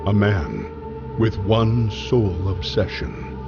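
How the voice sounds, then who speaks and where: An actor playing the solemn narrator of a wartime story in a video game, in a scripted style. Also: male voice, lowish voice, big pitch swings, slow, creaky, muffled recording, exaggerated voice. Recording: music behind the voice.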